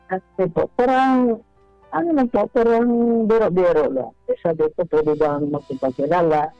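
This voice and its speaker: A man calling a radio show, telling a personal story casually, politely and haltingly. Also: high-pitched, dry recording. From Bohol, Philippines. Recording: dull, band-limited phone call. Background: music.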